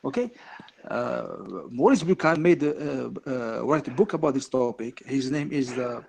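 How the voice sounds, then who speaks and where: A man's voice: mid-range voice, lively intonation, normal speed, creaky, darkish tone. A man whose first language is Arabic, a debate guest who keeps pleading to be allowed to continue, hesitating with many fillers. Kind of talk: heated online panel debate.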